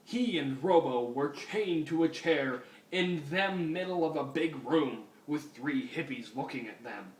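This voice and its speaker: A man's voice: on the high side, a little slow, few pauses. Amused man reading aloud in echoey room. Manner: amused, breaking off to comment. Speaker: reader of fan fiction. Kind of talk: comic dramatic reading. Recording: echoey room, distant mic, faint noise.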